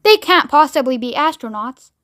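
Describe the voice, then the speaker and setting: A woman's voice: high voice, very animated, normal speed, a bit bright, no echo, clean studio sound. A woman voicing a flustered, cheeky male astronaut in a children's audiobook, blurting things out with emphasis. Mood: alarmed.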